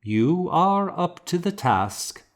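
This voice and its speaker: A man's voice: high-pitched, very animated, takes it slowly, pitched above the performer's own voice, full character voice. A man voicing an authoritative monastery superior in an audiobook, giving firm instructions. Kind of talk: audiobook character acting.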